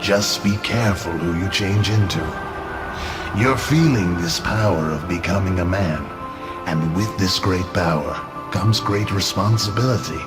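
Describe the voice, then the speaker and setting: An older man playing a wise, fatherly old uncle giving solemn, gently cautioning advice. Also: low voice, unhurried. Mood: grave, caring. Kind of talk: solemn remembered advice in game cutscene. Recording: loud music behind the voice.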